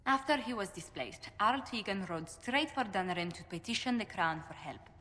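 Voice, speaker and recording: An actress playing a diplomatic court advisor in a fantasy game, formal and articulate. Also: female voice, medium pitch, expressive, normal speed, bright, forceful tone. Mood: matter-of-fact. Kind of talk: dramatic game cutscene acting. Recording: long reverberant hall.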